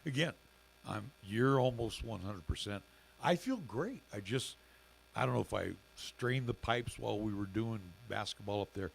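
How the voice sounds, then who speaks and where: Middle-aged guy on local radio, raspy gravelly voice, real low, lots of uh and you know, faint hum. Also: expressive, rough recording. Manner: halting, many fillers and restarts. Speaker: radio station owner and co-host. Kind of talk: casual radio chat.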